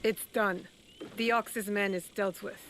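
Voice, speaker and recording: A woman's voice: mid-range voice, fairly even intonation, a little slow, fairly bright tone, soft background music, natural voice. An actress as terse, guarded female assassin. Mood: stern. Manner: terse, cool, direct. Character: determined, guarded female shinobi assassin in feudal Japan. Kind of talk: scripted video game cutscene acting.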